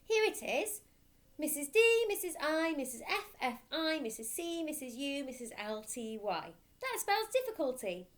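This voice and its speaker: Cheeky small boy for a storybook, woman reader: high, piping, confident, singsong. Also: very animated, moderate pace. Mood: eager, confident. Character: cheeky, eager, confident young schoolboy.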